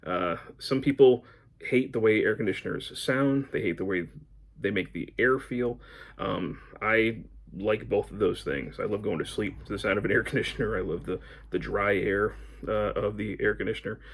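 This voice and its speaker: Low-voiced rambling American man. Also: moderate pace, slightly rough. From United States. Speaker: book vlogger. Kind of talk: casual chat to camera, answering book prompts.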